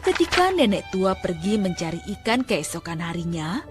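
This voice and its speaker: A woman's voice: lowish voice, big pitch swings, moderate pace. A young woman narrating a scripted children's folk tale as storyteller. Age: young adult. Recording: music under the narration.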